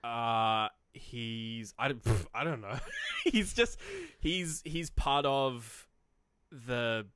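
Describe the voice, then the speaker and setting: A man's voice: medium pitch, lively intonation, a bit bright, clear, resonant tone, no echo, clean studio sound. Rambling man talking films casually. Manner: rambling, halting explanations full of hedges and self-quotes. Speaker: podcast co-host. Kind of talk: casual film discussion.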